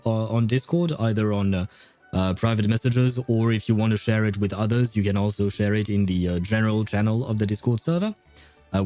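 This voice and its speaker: A man's voice: lowish voice, warm tone. A man livestreaming a game, chatting casually with viewers in a rambling, hesitant way. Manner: rambling, hesitant, chuckles often. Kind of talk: casual chat with viewers while gaming. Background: faint game music.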